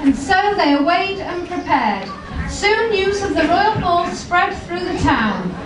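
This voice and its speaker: A woman's voice: very high-pitched, big pitch swings, measured pace, full character voice. A woman playing a chatty pantomime narrator who teases the characters and addresses the audience. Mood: announcing. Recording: echoey hall from far off, noisy crowd.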